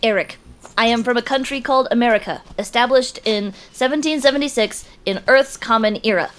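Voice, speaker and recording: A woman's voice: a little high, fairly quick, a bit bright, a little hiss or hum, natural voice. A woman voicing an earnest, suspicious alien posing as a preteen boy, with stiff, formal, literal speech in an audio drama.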